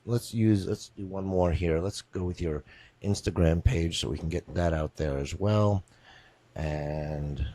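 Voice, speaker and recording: A man's voice: low voice, a little mellow. A man hosting an interview on a video call, jokey and rambling, often repeating himself. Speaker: interview host. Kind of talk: casual interview.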